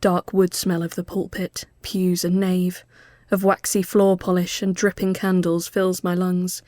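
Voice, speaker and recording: A woman reading a literary ghost story as scripted narration, measured and often hushed as phrases end. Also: on the low side, monotone, no echo, studio quality.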